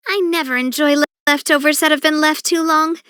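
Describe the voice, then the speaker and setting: A woman playing a cheerful, caring young leader in a video game, with an expressive, friendly, earnest and chatty delivery. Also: sits high, a little fast, no echo, clean studio sound. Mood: lighthearted, fussy. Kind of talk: video game character voice acting.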